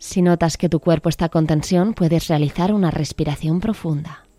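A woman introduces a meditation in a calm, gentle way. Her voice is deep and mellow, with faint music underneath. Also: moderate pace, dry recording. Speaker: journalist presenting a meditation.

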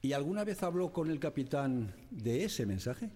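An actor playing a formal, insistent examiner at an official inquiry in a radio drama, with a husky voice. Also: male voice, fairly high pitch, very animated, moderate pace, mellow. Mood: stern, probing. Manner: formal, probing, insistent questioning. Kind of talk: radio drama courtroom questioning.

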